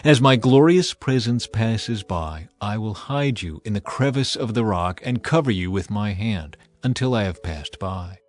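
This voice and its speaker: A man's voice: lowish voice, darkish tone. A man voicing a solemn, commanding God in dramatized scripture, grave and declamatory. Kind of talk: dramatized audiobook acting.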